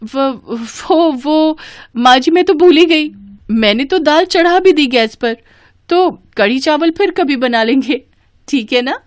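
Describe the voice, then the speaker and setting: Woman putting on a high-pitched fussy young bride. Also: expressive, measured pace, no room sound, studio quality. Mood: nervous, evasive.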